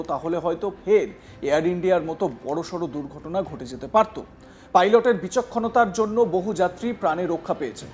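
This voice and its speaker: A male news presenter with a distinctly high voice. He delivers reports and commentary emphatically, setting phrases apart in deliberate chunks. There is slight noise behind him. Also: darkish tone.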